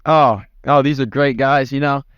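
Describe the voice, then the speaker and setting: A man's voice: mid-range voice, steady tone, normal speed, fairly bright tone, clear, resonant tone, no echo, lo-fi sound. A man giving casual interview answers in a blunt, offhand way, swearing freely. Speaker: interview guest, former commander in an online roleplay community.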